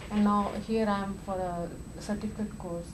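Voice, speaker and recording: A woman's voice: medium pitch, steady tone, normal speed. Woman from India, hesitant, noisy room. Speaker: student. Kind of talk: self-introduction. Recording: loud background noise.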